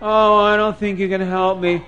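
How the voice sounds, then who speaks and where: A man's voice: high-pitched, flat delivery, moderate pace, pushed and bright, clean tone, muffled recording. A man voicing a distraught, hopeless town manager with a wailing lament, in a children's story. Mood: upset, hopeless.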